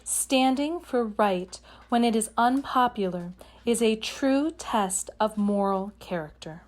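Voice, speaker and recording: A woman's voice: fairly high pitch, takes it slowly, darkish tone. A woman reading the words of a principled female senator from a picture book, formal and emphatic. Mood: principled conviction. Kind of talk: read-aloud quotation.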